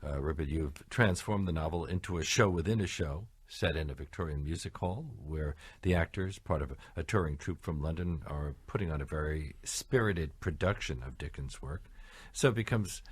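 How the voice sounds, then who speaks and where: A man's voice: a bass voice, moderate pace, breathy edge, no room sound. A man hosting a radio interview, asking curious, playful questions. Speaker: radio host.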